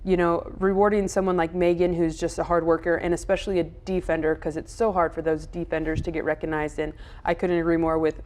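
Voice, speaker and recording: A woman's voice: lowish voice, little pitch movement, a little fast, darkish tone. A woman soccer coach giving casual answers in an interview.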